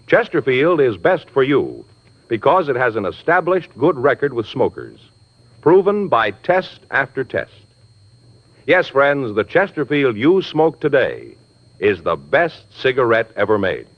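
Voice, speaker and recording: A man's voice: medium pitch, sing-song, measured pace. A man with an American accent delivering scripted, emphatic 1950s radio announcements. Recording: dull old radio recording with music.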